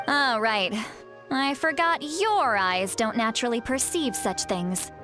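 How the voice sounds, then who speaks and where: A woman voicing a kindly elderly shaman in a video game who strives to sound youthful, chatty, self-assured and gently guiding. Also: fairly high pitch, expressive, measured pace, music in the background. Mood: confident.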